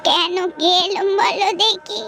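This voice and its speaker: Woman voicing a high-voiced, slow, affectionate old grandmother. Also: fairly even intonation, bright, forceful tone, soft background music, exaggerated voice. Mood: affectionate, explaining. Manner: slow, indulgent explaining. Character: affectionate old grandmother explaining harvest customs.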